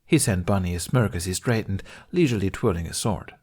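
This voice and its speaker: A man's voice: deep voice, animated, brisk, darkish tone, breathy edge. A man reading a scripted story aloud as its narrator. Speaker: fan fiction podcast narrator.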